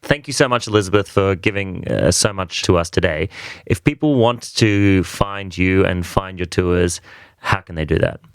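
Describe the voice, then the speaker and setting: A male podcast host with a very low, smooth, resonant voice asks his questions in a measured way. The sound is dry and close to the mic. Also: no room sound. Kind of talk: interview questions.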